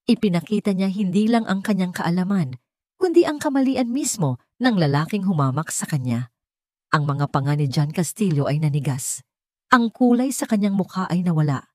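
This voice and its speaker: A Filipino woman narrates a scripted drama in a fairly low voice. The sound is dry, with little room around it. She reads as an audiobook storyteller with a Filipino accent. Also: mellow, no echo.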